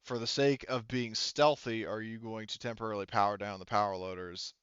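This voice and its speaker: A man's voice: fairly low pitch, fairly even intonation, a little fast, fairly bright tone, clean tone, no room sound, highs cut off. A man acting as game master, narrating a tabletop roleplaying game in a casual, hesitant way. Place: indoors, online voice session. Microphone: headset.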